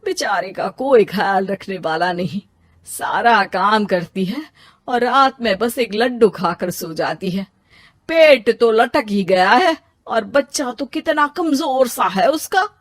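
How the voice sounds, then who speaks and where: A woman voicing a kindly older mother-in-law in an animated moral story, affectionate and coaxing. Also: mid-range voice, slow pace, no echo, clean studio sound. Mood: caring, coaxing.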